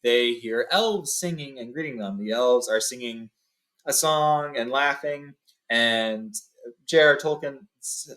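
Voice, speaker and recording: A man's voice: medium pitch, measured pace, fairly bright tone. A man hosting a book read-along, informally telling and reading a story aloud.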